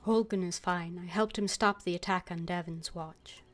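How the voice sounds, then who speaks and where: A woman's voice: lowish voice, steady tone, moderate pace, faint music behind. A woman streaming a game, voicing her character's lines aloud with casual, wry asides.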